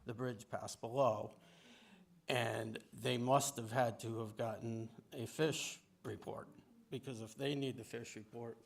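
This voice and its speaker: A man making his case informally as an applicant at a public meeting, halting and repeating himself insistently. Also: medium pitch, steady tone, gravelly. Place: indoor municipal meeting room. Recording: noisy town meeting room.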